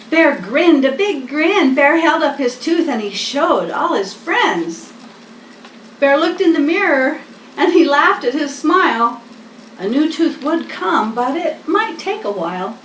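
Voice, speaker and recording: An older woman reading a picture book aloud to small children, expressive and deliberate. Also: sits high. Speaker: storybook reader, host. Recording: echoey room, distant mic, faint noise.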